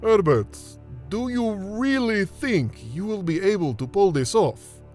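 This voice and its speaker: A man acting in an audio drama as an earnest, devout scholar, speaking deliberately and with dramatic emphasis. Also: high voice, animated, darkish tone, soft background music. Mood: concerned. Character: earnest Middle Eastern man, devout scholarly friend and host.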